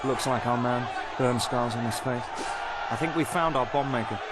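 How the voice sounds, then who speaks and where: Actor as man, excitable field agent, jumpy, terse, medium pitch, video game, loud crowd. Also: moderate pace, heavy background noise, close to an everyday voice. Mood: tense, alarmed. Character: jumpy, eager male field agent on surveillance. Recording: loud crowd and music behind.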